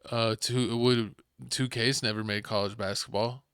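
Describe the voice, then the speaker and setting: A man's voice: medium pitch, fairly even intonation, normal speed, fairly bright tone, clear, resonant tone, no room sound, clean studio sound. A Texan man hosting a podcast, chatting casually and rambling about video games, sometimes acting out game scenarios. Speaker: podcast host. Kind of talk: casual sports chat.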